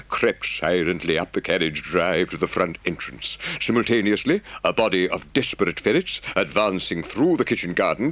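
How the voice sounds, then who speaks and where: Man voicing an earnest, indignant rat, very animated. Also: fairly low pitch, dry recording, voice pushed up. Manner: emphatic, scolding, dramatic storytelling. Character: earnest, indignant, reproachful water rat friend. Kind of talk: audiobook character voice.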